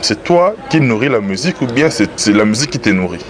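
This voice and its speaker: A man's voice: mid-range voice, sing-song, fast, crowd noise. A man interviewing a guest, asking long winding questions padded with fillers. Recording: outdoor street noise.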